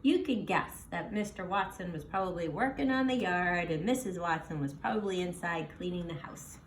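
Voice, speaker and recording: A woman reading a children's storybook aloud in an animated way, pausing to explain things simply to young listeners. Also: fairly low pitch, a little hiss or hum. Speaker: storybook reader. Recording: roomy, echoing sound.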